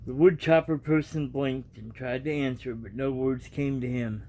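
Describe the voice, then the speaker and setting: A man reading aloud and giving his reaction as a vlogger, in an informal, halting, rambling way. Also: medium pitch, fairly even intonation, dark tone.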